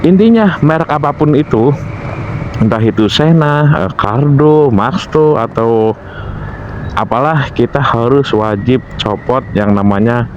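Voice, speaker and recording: An Indonesian man with a mid-pitched voice talks through gear tips in an informal vlog style. He is instructive and repetitive, pausing to find words. The audio is dull and lo-fi, with faint vehicle noise behind him. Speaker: motovlogger giving gear care tips. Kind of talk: informal explanatory vlog talk.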